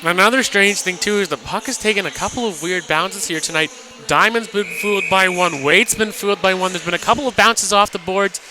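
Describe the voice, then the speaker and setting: A man's voice: high voice, brisk, noisy background. A man giving colour commentary and analysis on a live radio hockey broadcast. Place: commentary position in an indoor hockey arena.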